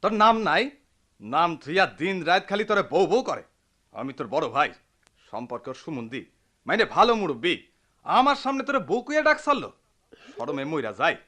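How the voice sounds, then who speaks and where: An actor playing a village wife's chiding elder brother, comically indignant, in rural Bangladeshi dialect in a radio drama. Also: male voice, on the high side, big pitch swings, fairly quick, pauses often, sharp tone. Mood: scolding, amused. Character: scolding, mock-embarrassed elder brother of village wife.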